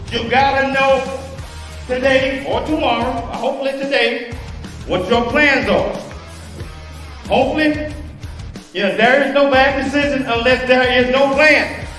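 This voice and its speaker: A man's voice: extremely high pitch, a bit bright. A man giving an emphatic motivational speech, repeating phrases like chants, in an echoey hall. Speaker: motivational speaker. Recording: echoey hall, music and crowd behind.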